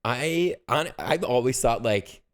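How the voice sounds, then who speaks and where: A man from the American Midwest co-hosting a casual podcast chat, relaxed and rambling with many fillers. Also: mid-range voice, slow. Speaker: podcast co-host.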